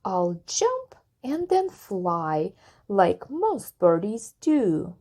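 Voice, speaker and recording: A woman's voice: mid-range voice, very animated, extremely slow, warm tone, heavily acted. A woman voicing a naive, earnest young male elephant from a rhyming children's storybook. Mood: discouraged, determined. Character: naive, cheerful young male elephant who loves singing.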